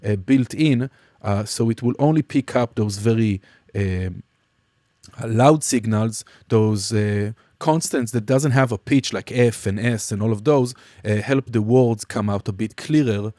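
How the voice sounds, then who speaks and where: A man teaching music production in a casual software demonstration, explaining informally and somewhat hesitantly step by step. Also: fairly low pitch, measured pace, no room sound, studio quality. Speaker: music production tutorial instructor.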